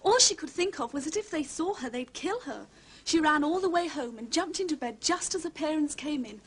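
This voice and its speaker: A woman's voice: sits high, animated, a little fast. An actress playing a plucky, chatty, well-bred girl in a period drama, jolly and confident, with an upper-class English accent. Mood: earnest storytelling. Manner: jolly, confident, matter-of-fact storytelling. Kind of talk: period detective TV drama acting.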